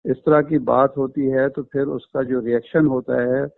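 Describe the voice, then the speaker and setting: A man from Pakistan giving a phone interview, explaining his account in a measured, earnest way with frequent hesitations. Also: medium pitch, steady tone, vocal fry, dry recording. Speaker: interview guest. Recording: tinny phone line.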